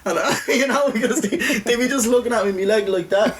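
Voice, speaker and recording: A man's voice: very high voice, a little fast. Dublin man chatting candidly over background noise. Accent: Dublin Irish. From Ireland. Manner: candid, informal, sweary, frequent "you know". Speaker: interview guest, video maker. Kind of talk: casual personal storytelling.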